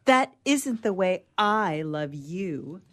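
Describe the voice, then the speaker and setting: A woman's voice: lowish voice, lively intonation, slow. A woman acting a petulant, naive young romantic in a comedy radio play, pouting, plaintive and melodramatic.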